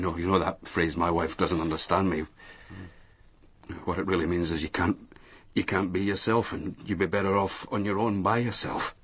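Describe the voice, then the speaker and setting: An actor playing a weary, lonely middle-aged businessman and ex-soldier in a radio drama, speaking haltingly and repeating himself. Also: low-pitched, fairly bright tone, breathy edge. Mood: resigned, bitter. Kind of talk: naturalistic radio drama acting.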